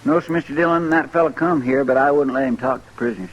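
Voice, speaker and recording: A man's voice: medium pitch, fast talker, highs cut off, over music, close to an everyday voice. An actor playing a simple, earnest, deferential frontier townsman in an old-time radio western, with a folksy, respectful drawl.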